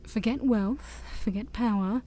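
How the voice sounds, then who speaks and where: A woman playing an ancient demon princess, haughty yet shy, in a soft-spoken ASMR roleplay that often drops to a whisper. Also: fairly high pitch, a little slow. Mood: coaxing. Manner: formal, teasing, hesitant, sighing.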